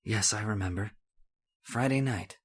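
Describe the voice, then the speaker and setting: A man's voice: lowish voice, a little slow, breathy edge. A man playing an irritable, scornful older husband haunted by memory, impatient and muttering, in old-time radio horror style. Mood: brooding, haunted. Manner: impatient, snapping, muttering broodingly.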